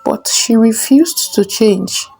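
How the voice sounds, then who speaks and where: A woman narrating a folktale in a measured, simple storybook manner. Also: a little high, gravelly, warm tone, husky, soft background music, low-quality recording.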